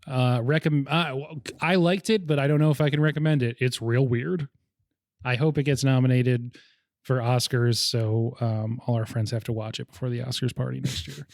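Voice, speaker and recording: A young man hosting a casual movie podcast, chatty and quick to laugh, keen on film history. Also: medium pitch, steady tone, creaky, darkish tone, no echo, studio quality. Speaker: podcast host.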